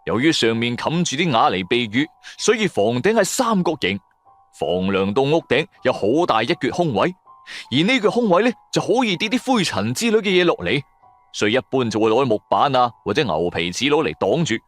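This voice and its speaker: Cantonese guy reading a spooky adventure serial, really animated swingy pitch, dramatic, music behind him. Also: a little fast. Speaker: audiobook narrator. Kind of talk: dramatic first-person storytelling narration of a horror adventure serial.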